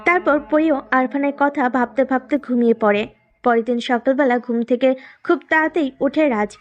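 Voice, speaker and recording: A woman narrates a romantic story from a script. Her voice is high, and she tells it as the storyteller. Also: moderate pace, dull audio.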